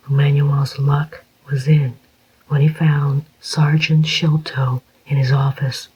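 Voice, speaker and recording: A narrator reading a crime story aloud in a soft, unvoiced whisper, slow and even. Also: mid-range voice, breathy edge. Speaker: audiobook narrator. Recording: dull lo-fi recording.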